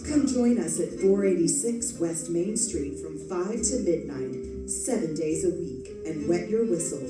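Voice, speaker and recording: A woman playing a sultry, teasing cocktail lounge hostess in a theatrical radio ad, flirtatious and drawn-out. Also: lowish voice, expressive, echoey room, recorded from a distance, music in the background, low-quality recording.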